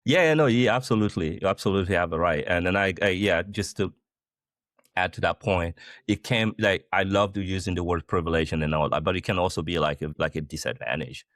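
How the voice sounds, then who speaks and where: A man from Guinea speaks as a podcast guest with a very deep voice. He talks animatedly, rephrasing as he goes and leaning on "like". The recording is dry, with no room sound. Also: fairly quick, a bit bright, dry recording. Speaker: podcast guest, company founder. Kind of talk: casual conversational interview. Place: the Netherlands.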